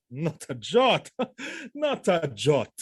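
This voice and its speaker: An actor playing a proud military husband troubled by jealousy, speaking Shakespearean verse emphatically and haltingly in an audio drama. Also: male voice, on the high side, huge pitch swings, slightly rough, no echo, studio quality, full character voice. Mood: shaken, brooding.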